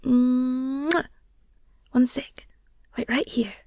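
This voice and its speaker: A woman's voice: sits high, fairly even intonation, extremely slow, dark tone, no room sound, clean studio sound. A woman playing an affectionate, playful and protective werewolf girlfriend in an intimate audio roleplay, tender and teasing, often murmuring or whispering.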